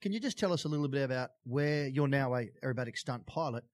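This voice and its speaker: A man's voice: mid-range voice, animated, fast talker. A man with an Australian accent hosting a casual interview in a matey, enthusiastic way. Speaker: podcast host and interviewer.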